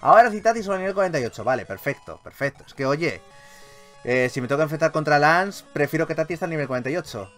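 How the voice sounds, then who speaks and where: A man with an accent from Spain giving casual, excitable gaming commentary, repeating his phrases and swearing freely. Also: on the high side, quick pace. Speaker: gaming YouTuber, host. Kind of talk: casual, excitable Pokémon let's play commentary. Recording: game music behind voice. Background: video game music.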